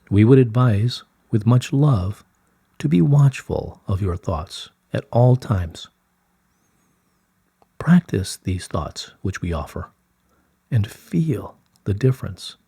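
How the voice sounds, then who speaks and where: A man reads spiritual guidance in a low, very mellow voice with a soft, breathy grain. He takes his time, speaking very slowly and solemnly in measured, soothing phrases. The sound is dry and close. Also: expressive, dry recording. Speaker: reader of channelled spiritual messages. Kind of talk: slow scripted reading of spiritual guidance.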